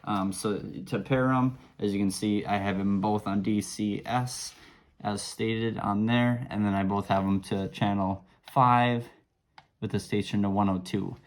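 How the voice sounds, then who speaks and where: A man's voice: lowish voice, fairly even intonation, measured pace. A man giving a casual product demonstration, explaining simple steps in an easygoing, informal way. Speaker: product reviewer.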